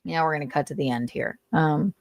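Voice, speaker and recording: A woman's voice: low voice, a little fast, dry recording, clean studio sound. A woman hosting and casually reading notes aloud, adding informal, sweary, sardonic commentary as she goes. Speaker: commentator and show host.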